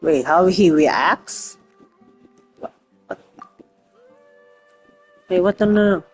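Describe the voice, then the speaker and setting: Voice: mid-range voice, slow pace, breathy edge, soft background music. A streamer giving casual gameplay commentary, muttering short reactions to the game.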